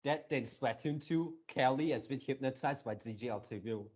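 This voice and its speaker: A man's voice: medium pitch, moderate pace, darkish tone. A man giving casual reaction commentary as a video creator, rambling like an enthusiastic fan.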